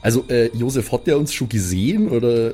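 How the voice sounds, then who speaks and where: A man's voice: medium pitch, animated, fairly quick, warm tone, breathy edge. A man playing a tabletop adventure, talking in character with a hesitant, cautious manner. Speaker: role-playing game player. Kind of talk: improvised in-character chat. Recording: music behind the voice. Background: music.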